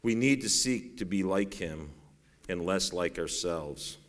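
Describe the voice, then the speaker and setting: A middle-aged man with a bass voice preaching a sermon in a folksy, self-deprecating way, rising to raised, urgent preaching. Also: lively intonation, a little slow. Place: church sanctuary. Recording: long reverb in a large hall.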